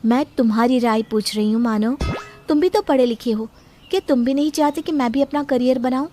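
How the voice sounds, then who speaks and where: A woman puts on an earnest young bride-to-be for a dramatized story. The voice is pitched high, gentle and polite, yet questioning and firm. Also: fairly quick, soft background music, lightly acted. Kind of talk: acted Hindi audio story.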